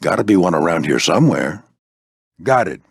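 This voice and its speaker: Folksy old warplane mentor, lively intonation. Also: male voice, low voice, moderate pace, vocal fry, breathy edge, dry recording, studio quality, full character voice. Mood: brisk, military. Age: older. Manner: folksy, avuncular, military clipped phrasing. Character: gruff old veteran warplane flight instructor, fatherly storyteller. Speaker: squadron skipper and mentor. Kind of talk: animated video game character voice lines.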